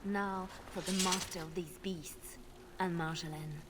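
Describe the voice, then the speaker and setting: A woman's voice: fairly low pitch, fairly even intonation, a little slow, natural voice. An actress playing a young woman rogue who has been wronged and wants revenge, in a video game. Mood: grim resolve. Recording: long hall reverb, music behind.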